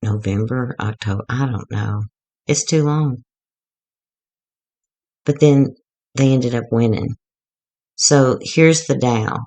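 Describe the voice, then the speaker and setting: A woman going hoarse as she gives a rambling, chatty, self-deprecating walkthrough of a craft project. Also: rumbling low, takes it slowly, long pauses, warm tone. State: getting hoarse. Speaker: craft tutorial maker.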